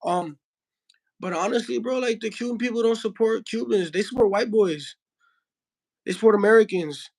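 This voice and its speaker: Young Miami heavyweight, Spanish first language, high voice, slangy casual interview talk full of restarts. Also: male voice, moderate pace, creaky, pauses often, darkish tone. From Miami, Florida. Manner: slangy, says bro a lot, frequent restarts. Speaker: heavyweight boxer.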